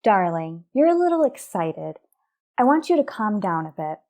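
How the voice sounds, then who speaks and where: A worried, composed mother and estranged wife acted by a woman in an old-fashioned radio soap. Her mid-range voice moves in proper, deliberate phrases. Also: mid-range voice, very animated, a little mellow. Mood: gently coaxing.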